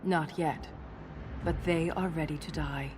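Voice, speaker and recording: A formal, earnest female dwarf scholar from a fantasy game. Her voice is on the low side and carefully measured, set over clear background music. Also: steady tone. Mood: formal, grave. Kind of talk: video game voice acting.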